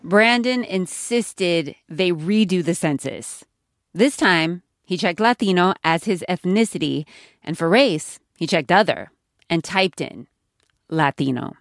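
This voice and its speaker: A woman hosting a podcast, narrating in a clear, measured, conversational way. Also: lowish voice, lively intonation, no room sound, clean studio sound. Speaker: podcast host. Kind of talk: scripted narration and interviewing.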